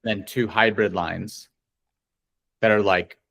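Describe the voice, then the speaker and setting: A man's voice: on the low side, fairly even intonation, takes it slowly, vocal fry, a bit bright. A man of forty talking casually as a guest in an interview. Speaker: interview guest, coach and player. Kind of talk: casual chat.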